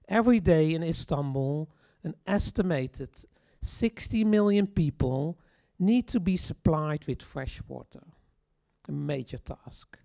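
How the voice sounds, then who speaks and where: A presenter speaking at a launch event in a measured, deliberate way, sometimes correcting a word mid-phrase. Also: medium pitch, vocal fry, darkish tone. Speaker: tour project presenter. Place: event hall.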